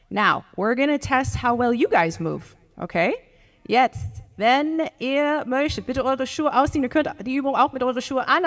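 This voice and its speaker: Lively woman coach in a big reverberant hall. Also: fairly high pitch. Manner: lively, coaching, laughs often, checks in with okay. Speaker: fitness coach giving a keynote. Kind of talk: live workshop talk, mixing English and German. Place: large gym hall with a seated audience. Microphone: handheld microphone.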